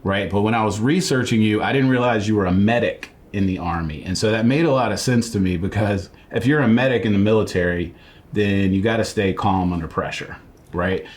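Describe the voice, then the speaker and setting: A man's voice: lowish voice, a little fast, slight background noise. A man hosting an interview podcast, friendly and conversational, teasing his guest now and then. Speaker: podcast host. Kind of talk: casual interview chat.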